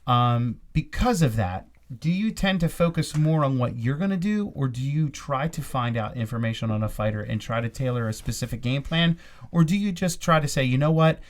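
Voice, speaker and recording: A man's voice: mid-range voice, animated, normal speed. Rambling man asking questions. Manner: rambling questions with many restarts. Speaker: podcast co-host and fight commentator. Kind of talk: casual interview.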